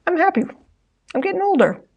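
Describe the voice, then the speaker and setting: A woman's voice: extremely high pitch, very animated, brisk, dull audio. A woman in her forties co-hosting a live stream, chatty and laughing often as she reads out viewer messages. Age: 40s. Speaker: co-host reading chat. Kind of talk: live-stream casual chat.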